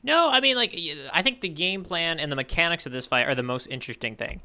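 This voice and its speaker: A man's voice: a little high, lively intonation, quick pace, bright, forceful tone. A man co-hosting a podcast, chatting casually in a thoughtful, analytical way. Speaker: podcast co-host. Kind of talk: casual manga discussion chat.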